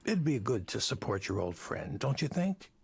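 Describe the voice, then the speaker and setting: Casting: self-assured older executive in a visual novel, suggestive and persuasive, with creaky, animated delivery. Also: male voice, medium pitch, moderate pace, heavily acted. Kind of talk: visual novel game voice acting.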